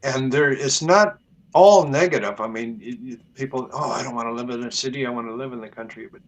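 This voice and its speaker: A man's voice: mid-range voice, a little fast. A man as a guest in a casual spiritual conversation on a video call, talking earnestly and hesitantly with fillers.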